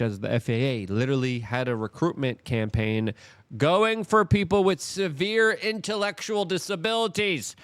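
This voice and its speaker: A man's voice: a little high, fairly bright tone, no room sound, clean studio sound. A man hosting an opinionated political commentary, speaking in an emphatic, theatrical and sarcastic manner.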